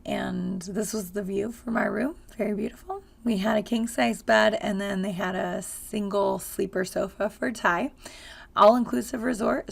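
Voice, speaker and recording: A woman with a hoarse, raspy voice, chatting casually in a travel vlog with frequent ums and uhs. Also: mid-range voice. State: hoarse from screaming. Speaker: travel vlogger.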